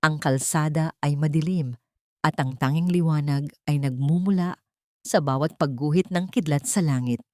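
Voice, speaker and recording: A woman with a fairly low voice narrates a dramatic audio story. The recording is dry and close, with no room sound around her. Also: normal speed, warm tone, no echo.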